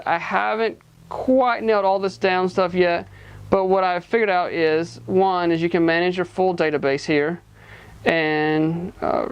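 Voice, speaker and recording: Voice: medium pitch, clear, resonant tone. A person giving a casual, hands-on product demonstration, explaining informally step by step. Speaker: gadget reviewer.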